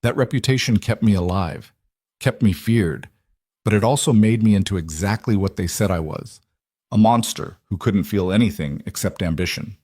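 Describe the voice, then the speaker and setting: A man voicing a powerful, protective mafia boss in audiobook dialogue, measured and grave. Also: fairly low pitch, a little mellow, no echo, studio quality, natural voice. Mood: grave, restrained.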